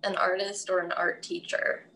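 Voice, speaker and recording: A woman's voice: fairly low pitch, flat delivery, normal speed, sharp tone, rough recording. A young woman as an interview guest, chatty and giggly, peppering her talk with "like". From Milwaukee area, USA. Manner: chatty, giggly, says "like" often. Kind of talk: casual interview chat.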